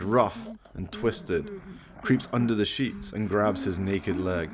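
A man giving theatrical, wry scripted narration for a horror radio play. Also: lowish voice. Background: music.

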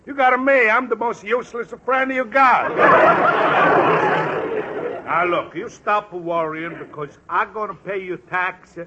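A man's voice: sits high, slow pace, a loud crowd. An actor playing a boisterous, bossy Italian immigrant father who talks in jovial broken English with an Italian accent, in a 1950s radio comedy. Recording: dull lo-fi old radio recording with loud hiss.

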